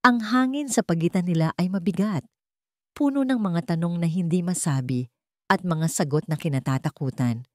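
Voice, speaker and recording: Low-voiced Filipino woman narrating a dramatic story. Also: dry recording, studio quality. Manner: measured, solemn, dramatic delivery.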